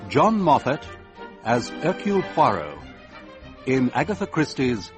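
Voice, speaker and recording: Male announcer for a radio drama introduction, mid-pitched, very deliberate pace, formal, music underneath. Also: big pitch swings. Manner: measured, formal. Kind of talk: scripted programme introduction.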